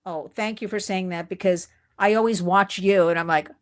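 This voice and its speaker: A woman hosts an interview show. She talks in an animated, gushing way, laughing often and bubbling over with praise for her guest. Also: medium pitch, moderate pace. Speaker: podcast host. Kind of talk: enthusiastic interview chat.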